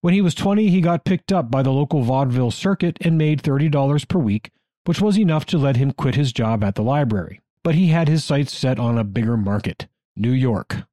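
An American man narrates history as a podcast co-host, reading in a conversational way. His voice is mellow and warm, at a middling pitch, recorded very dry. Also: lively intonation, brisk, no echo.

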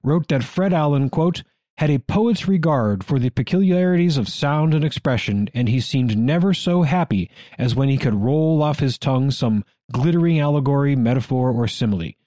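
An American man narrates history as a podcast co-host, reading in a conversational way. His voice is mellow and warm, at a middling pitch, recorded very dry. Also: animated, normal speed, no room sound.

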